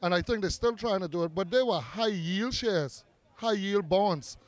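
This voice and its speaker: High-voiced Bahamian man, impassioned activist. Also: moderate pace. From Bahamas (Grand Bahama). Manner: earnest, persuasive, piles up figures and repeats for emphasis. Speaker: community activist interviewee. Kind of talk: impassioned interview answers. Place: outdoor park at a demonstration.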